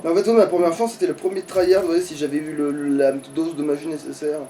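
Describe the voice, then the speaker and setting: A man's voice: fairly high pitch, steady tone, quick pace, dark tone, slight background noise. A man chatting casually and crudely as he plays a video game, often swearing in reaction. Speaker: let's player playing the game. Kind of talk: casual gaming chat and reactions. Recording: distant mic, music behind.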